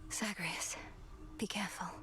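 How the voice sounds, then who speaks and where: A woman playing an earnest, resolute young witch princess in a video game, speaking in a formal, measured manner. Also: in a whisper, close to an everyday voice. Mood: hushed concern. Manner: formal, measured, archaic phrasing. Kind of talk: video game drama acting.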